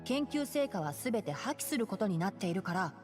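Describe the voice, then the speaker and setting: A bookish young male botanist played by a woman from a video game, speaking in a long reverb. The delivery is quick, precise and mildly exasperated, with lively intonation. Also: medium pitch, faint music behind, close to an everyday voice. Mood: patient, explanatory. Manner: precise, matter-of-fact, gently scolding. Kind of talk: Japanese video game voice acting.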